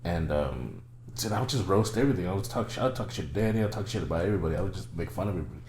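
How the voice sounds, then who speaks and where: A man's voice: low-pitched, animated, rapid-fire, darkish tone. A young man chatting on a podcast, telling rambling, crude, teasing stories with lots of stammered restarts. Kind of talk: casual chat. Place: room in Fresno. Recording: roomy echo, faint background hum.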